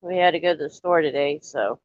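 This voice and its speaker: A woman with a lowish voice rambles easily through a casual live stream, greeting viewers as she goes. She sounds a bit stuffy and nasal from allergies. The audio is dull and dry, like a lo-fi home stream. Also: brisk, dry recording. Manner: rambling, relaxed, talks straight to chat. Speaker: livestreamer chatting with viewers.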